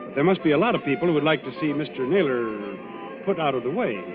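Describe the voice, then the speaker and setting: An actor playing a resolute, level-headed young naval commander in 1940s radio drama style. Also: male voice, on the high side, expressive, fast talker, natural voice. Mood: polite, probing. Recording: tinny old radio broadcast, music behind.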